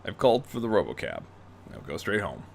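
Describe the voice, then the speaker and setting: A man's voice: fairly low pitch, very animated, a little fast, vocal fry, a little hiss or hum, voice pushed down. A man voicing a stern seventy-year-old male martial arts mentor in a storybook reading, terse and firm. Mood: stern, chiding.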